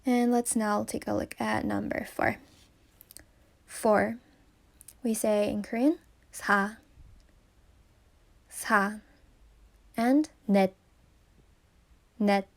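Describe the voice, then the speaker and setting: A woman whose first language is English, slowly teaching number pronunciation and repeating each word carefully. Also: mid-range voice, steady tone, vocal fry. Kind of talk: slow pronunciation lesson.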